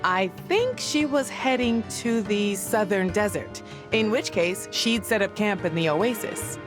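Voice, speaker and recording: A woman's voice: medium pitch, expressive, unhurried, music in the background, natural voice. A woman playing an easygoing, indulgent middle-aged royal mother in a video game cutscene, breezy and airy. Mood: breezy, fond.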